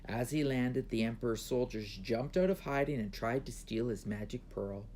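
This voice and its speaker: A man's voice: medium pitch, moderate pace, darkish tone, slight background noise. A man reading his own children's book aloud as a storybook narrator.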